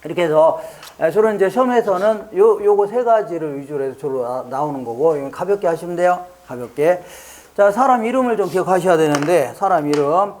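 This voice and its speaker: A man's voice: high voice, fairly quick, darkish tone. A man from the Chungcheong region teaching an exam prep lecture, playful and jokey, quizzing listeners with rhetorical questions and answering them himself. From Chungcheong region, Korea. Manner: playful, jokey, drills mnemonics by repetition.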